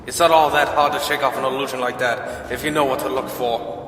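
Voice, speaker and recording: A man's voice: mid-range voice, fairly even intonation, fairly quick, fairly bright tone, natural voice. A man playing a cocky, scornful human vampire hunter with a bow in an audio drama, taunting in a projected voice. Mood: smug, taunting. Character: cocky male human archer who hunts vampires, scornful. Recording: distant, echoing reverb, faint music.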